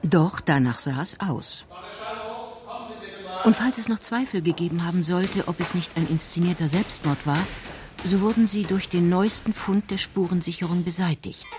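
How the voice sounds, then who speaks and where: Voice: medium pitch, darkish tone. Radio crime drama narrator over music.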